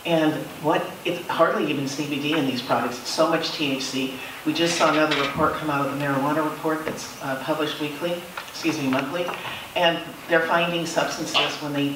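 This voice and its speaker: A middle-aged man speaking earnestly and haltingly as a panelist in a discussion. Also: a little high. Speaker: panelist, healthcare worker. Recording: very echoey, distant, noisy room.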